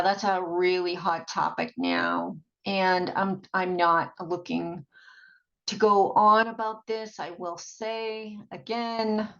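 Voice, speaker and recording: A woman talks in a fairly low voice with a lot of gravelly fry. She rambles casually as a video blogger would, halting, repeating herself and trailing off. The recording is dull and lo-fi. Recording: dull, muffled lo-fi sound.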